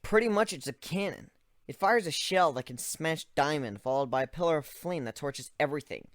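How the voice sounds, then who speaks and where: A man narrates a first-person fantasy story in a measured reading voice. His pitch moves a lot, sinking low and soft when the tension builds. The recording is dry and close to the mic. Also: animated, dry recording. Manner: measured, drops low and quiet on tense moments.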